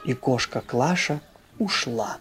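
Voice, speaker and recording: A storyteller reads for children in an expressive way. The pace is slow and the pitch sits in the middle, with music playing behind the voice. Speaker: storyteller and channel host.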